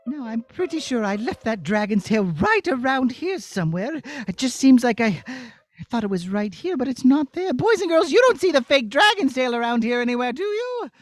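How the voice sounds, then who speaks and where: A woman voicing an excitable young princess who gasps, exclaims and talks to the children watching. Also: sits high, moderate pace, dry recording. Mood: indignant. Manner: gasping, exclaiming, talking to children. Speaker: puppeteer for children.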